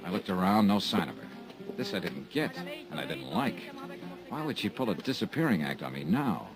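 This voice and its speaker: A deep, dry-witted private investigator. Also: male voice, very animated, fairly quick, music in the background. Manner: dry, wisecracking, laconic. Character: wisecracking male insurance investigator. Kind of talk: 1950s radio drama acting and narration.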